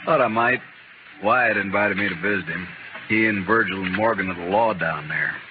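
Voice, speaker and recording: Man as ailing gunfighter, courteous menace, dry wit, lowish voice, radio Western, hissy narrowband vintage sound. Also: close to an everyday voice. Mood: wry, relaxed. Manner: dry, sardonic, unhurried politeness. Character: sickly, dangerous, coolly courteous male gunfighter. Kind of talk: 1950s Western radio drama acting. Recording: thin, hissy old-time radio recording.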